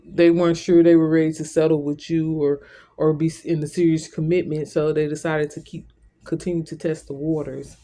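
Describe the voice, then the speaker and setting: A man's voice: on the high side, dark tone. A man giving an informal tarot reading, talking directly to the listener in a conversational, repetitive way. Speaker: tarot reader.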